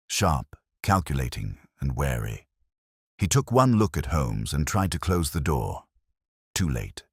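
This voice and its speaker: A man's voice: rumbling low, husky, dry recording, studio quality. A man narrating a Victorian detective mystery in the scripted style of an audiobook. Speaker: narrator, Dr Watson telling a detective story.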